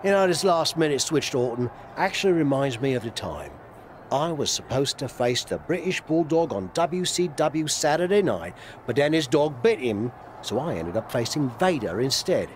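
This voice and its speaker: A man's voice: mid-range voice, sing-song, normal speed, slight background noise, lightly acted. A man playing a veteran wrestler turned mentor in a game cutscene, rambling and self-deprecating yet encouraging. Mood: wry, rueful. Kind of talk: video game cutscene acting.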